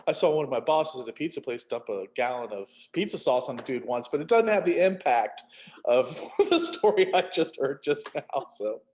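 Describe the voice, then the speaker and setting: Male author on a panel, mid-range voice, casual, halting, wry self-deprecating delivery. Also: medium pitch, animated, darkish tone, a little hiss or hum. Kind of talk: casual conversational interview.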